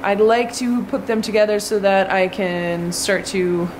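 A young woman talks straight into a handheld camera, relaxed and chatty, with easy fillers as she explains things. Her voice sits in a middle register. The farm barn around her adds a clear layer of background noise. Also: mid-range voice, measured pace, poor audio. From Canada. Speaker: farm vlogger. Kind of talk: casual vlog talk to camera. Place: indoors in a large goat barn with straw pens. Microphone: handheld camera at arm's length.

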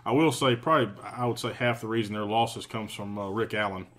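Low-voiced man, halting dry recap. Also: fairly bright tone. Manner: halting, lots of uh fillers, dry teasing. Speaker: podcast co-host. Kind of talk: casual chat recapping games.

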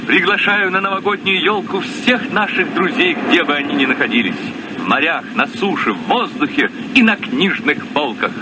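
An actor playing a romantic, ardent sailing-ship captain in an old radio play. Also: male voice, fairly high pitch, animated, moderate pace, close to an everyday voice. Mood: festive, welcoming. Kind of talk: mid-century Soviet radio play acting. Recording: very noisy old radio recording.

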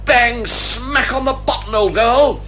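An actor playing a jolly, excitable, bumbling British detective's sidekick, full of old-fashioned exclamations, in a radio comedy drama. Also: male voice, extremely high pitch, slow pace, faint music behind, full character voice. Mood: excited, urgent. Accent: British English. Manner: exclamatory, old-fashioned upper-class phrasing.

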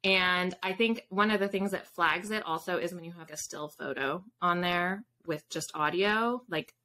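A woman's voice: on the low side, moderate pace, bright, forceful tone. A woman in her thirties talking as an interview guest in a casual, informal, explanatory way, on a video call from home. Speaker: podcast guest, author. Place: small room at home with bookshelves, video call. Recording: faint background noise.

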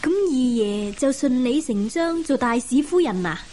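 A woman's voice: high-pitched, expressive, moderate pace, dark tone, slight background noise. An actress playing a curious female listener who eagerly questions a storyteller in a radio drama.

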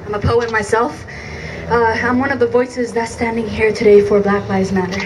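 A woman's voice: a little high, fairly even intonation, moderate pace. A young woman from Iraq performing spoken word poetry at an outdoor rally with intense, rhythmic emphasis, heard through a PA from a distance. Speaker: poet. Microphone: handheld mic through PA, filmed from a distance. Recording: distant outdoor PA with faint noise.

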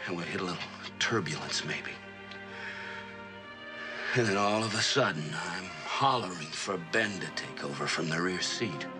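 A young military pilot full of self-doubt, earnest and brooding. Dramatic music plays under this old radio drama performance. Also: male voice, deep voice, lively intonation, normal speed. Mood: brooding, anguished. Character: troubled, self-doubting young Air Force pilot named Johnny.

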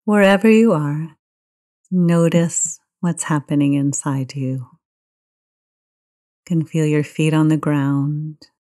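A woman guides a meditation in a low, soft-toned and mellow voice. She takes her time, speaking very slowly. Her manner is gentle and soothing throughout. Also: fairly even intonation. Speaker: meditation teacher.

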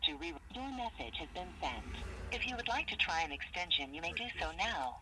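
A woman's voice: lowish voice, big pitch swings, a little fast. A woman's automated voicemail system voice reading scripted menu prompts in a formal, instructional way over the telephone. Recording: slightly noisy telephone voicemail recording.

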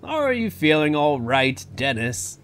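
A man playing a solicitous, sheepish older park owner in an audio drama, with a halting, apologetic manner. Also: on the high side, very animated, a bit bright, heavily acted.

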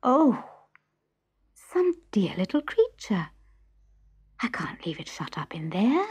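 A woman voicing a curious, wavering young wife from Greek myth in an anxious, breathy half-whisper. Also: medium pitch, sing-song, slow, darkish tone, full character voice. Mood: tender wonder.

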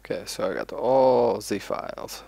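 A man's voice: fairly low pitch, unhurried, creaky, warm tone, dry recording. A man casually chatting on voice chat while he writes code, thinking aloud, distracted and swearing now and then. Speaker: programmer streaming his work.

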